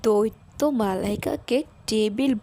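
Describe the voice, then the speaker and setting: A woman's voice: medium pitch, slow, mellow. A woman reading scripted fairy tale narration as the storyteller.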